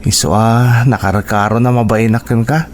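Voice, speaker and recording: A man's voice: medium pitch, steady tone, normal speed, darkish tone, slight background noise, lightly acted. A man playing a shy, polite, hesitant young graduate from a poor family in an Ilocano radio drama, with an Ilocano accent. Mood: bashful, respectful.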